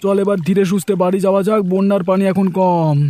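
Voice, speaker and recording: A man's voice: sits high, little pitch movement, fast talker, mellow, dry recording, lightly acted. A man voicing an earnest, worried young village farmer in a cartoon. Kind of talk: cartoon voice acting.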